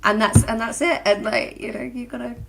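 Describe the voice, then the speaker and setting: A woman author talking casually on a livestream, chatty and quick, laughing easily. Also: fairly high pitch, fairly even intonation, vocal fry, slight background noise. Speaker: author guest.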